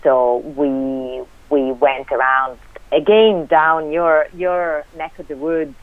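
A woman's voice: deep voice, fairly even intonation, slow, no room sound. A woman from Italy with an Italian accent, a guest on a radio interview, speaking hesitantly with many fillers and restarts. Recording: tinny phone line.

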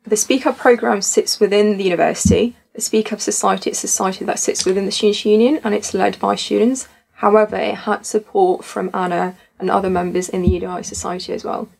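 A woman's voice: mid-range voice, fairly even intonation, brisk, creaky, few pauses, darkish tone, low-quality recording. A young woman from Tunisia, a student society founder, talking earnestly and reflectively in a podcast conversation.

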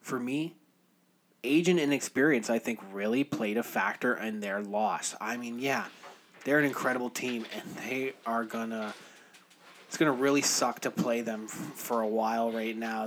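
A man with a mid-pitched voice talks sports as a podcast co-host. His delivery is casual and opinionated, peppered with slang. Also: normal speed, a little hiss or hum. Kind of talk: casual sports commentary chat.

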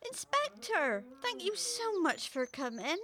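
A woman's voice: extremely high pitch, very animated, unhurried, a bit bright, slight background noise, exaggerated voice. A woman playing a courteous, innocent countrywoman on a farm in an audio comedy. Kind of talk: scripted audio comedy acting.

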